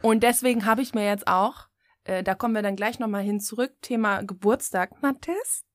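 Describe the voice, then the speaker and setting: Eine Frau um die dreißig als Co-Host eines Podcasts, die lebhaft erzählt, oft lacht und locker flucht. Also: female voice, medium pitch, normal speed, no room sound, clean studio sound. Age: 30s. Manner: lively, laughs often, swears casually. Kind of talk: casual chat.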